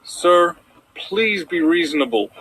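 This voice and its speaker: A man playing a loyal, earnest young pony guard, deferential and reassuring, in an animated fan drama. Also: high voice, slow, breathy edge, exaggerated voice, poor audio. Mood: anxious, coaxing.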